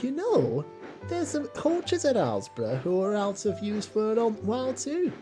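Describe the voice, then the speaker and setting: A woman voicing an earnest, excitable male steam engine in a children's story, speaking emphatically. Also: mid-range voice, moderate pace, soft background music, voice pushed up, close to an everyday voice. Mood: helpful.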